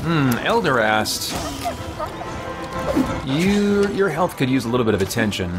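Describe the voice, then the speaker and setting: A man's voice: mid-range voice, sing-song, measured pace. A middle-aged man doing casual live gameplay commentary, chatting and thinking aloud as he streams from a small home office. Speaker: game streamer. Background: game music.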